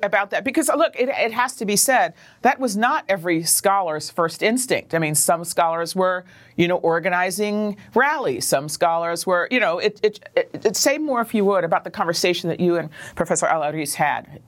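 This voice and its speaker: A woman's voice: lowish voice, lively intonation, normal speed, few pauses. A woman interviewer on a news program, asking thoughtful questions with some fillers and restarts.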